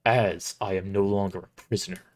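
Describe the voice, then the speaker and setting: A man's voice: sits low, fairly even intonation, a little fast, natural voice. A man voicing a noble, stern holy knight in a formal, solemn, declamatory way for an audio story. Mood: solemn judgement.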